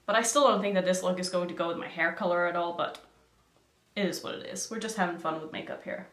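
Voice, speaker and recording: A woman's voice: on the low side, quick pace, a bit bright. A young woman from Norway, a native Norwegian speaker, chatting casually and giggling as she thinks aloud through a makeup tutorial. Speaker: makeup vlogger. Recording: echoey room.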